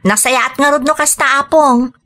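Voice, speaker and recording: A woman's voice: high voice, fairly even intonation, normal speed, sharp tone. A woman acting an earnest, dutiful and forgiving young migrant worker in an Ilocano radio drama. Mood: polite.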